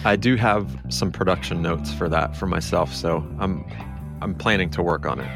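A man's voice: low-pitched, moderate pace, gravelly, music in the background. A man casually hosting a podcast that he also produces.

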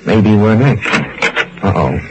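An actor playing a hard-boiled American insurance investigator in a 1950s radio drama, sardonic, world-weary and clipped. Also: male voice, fairly low pitch, expressive, takes it slowly, dull audio, noisy background. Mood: wry, resigned. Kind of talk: 1950s detective radio drama, first-person narration and dialogue. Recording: old radio recording with music.

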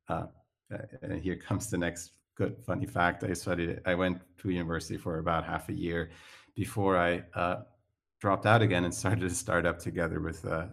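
A man's voice: low voice, creaky. A man from Switzerland with a Swiss accent, a startup founder talking as a guest in a casual interview, hesitant with many fillers and restarts.

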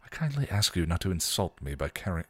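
A man's voice: low-pitched, very animated, fast, a bit bright, husky, dry recording, voice pushed down, exaggerated voice. A man voicing a stuffy, dry-witted older Englishman with a prim, formal and wry way of speaking.

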